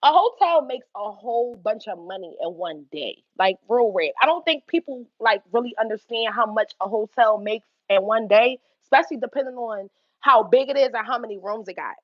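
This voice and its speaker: A young woman with a Philadelphia accent telling a story on her livestream, animated and swearing freely between laughs. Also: fairly high pitch, normal speed, no room sound, lo-fi sound. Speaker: YouTube livestream host. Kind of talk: casual livestream storytelling to chat.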